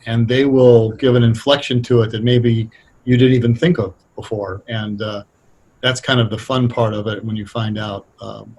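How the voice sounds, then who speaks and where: A middle-aged or older American man explaining things conversationally as an interview guest, thoughtful and halting as he picks his words. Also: medium pitch, darkish tone, poor audio. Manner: hesitant, thoughtful, halting delivery. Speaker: interview guest, radio playwright.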